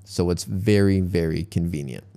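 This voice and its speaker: A young man teaching English to learners, explaining tips step by step in a relaxed, casual tutorial. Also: deep voice, normal speed, gravelly.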